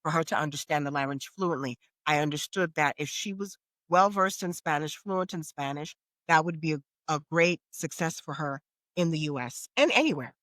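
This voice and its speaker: A woman's voice: low voice, moderate pace, fairly bright tone, no echo. A middle-aged American woman answering questions as a guest in a conversational podcast interview. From United States.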